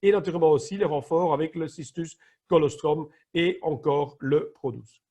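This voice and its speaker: A man's voice: a little high, moderate pace, mellow. A middle-aged Flemish man, an emergency nurse, explaining health topics in a training session, sometimes searching for his words. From Flanders, Belgium. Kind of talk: online training presentation.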